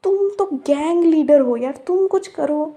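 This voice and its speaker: Teasing young woman, mellow voice. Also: high voice, measured pace, slight background noise. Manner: teasing, chatty and playful. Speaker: voice actor in a romance roleplay. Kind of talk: ASMR roleplay, talking to a silent listener.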